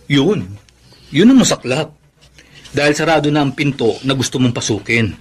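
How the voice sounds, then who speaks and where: A man's voice: medium pitch, animated, moderate pace, husky. A man acting a teasing buddy who philosophizes about love in a Filipino radio drama. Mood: mock-solemn.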